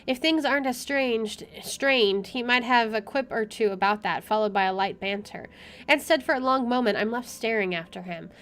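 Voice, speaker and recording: A woman reading a visual novel aloud as a gamer, expressive and opinionated in her delivery. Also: on the high side, moderate pace. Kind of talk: reading game narration aloud with casual commentary.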